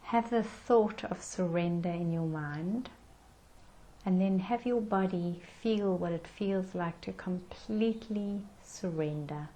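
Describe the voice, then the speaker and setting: A woman leading a guided meditation in a gentle, soothing manner with unhurried pauses. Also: on the low side, poor audio.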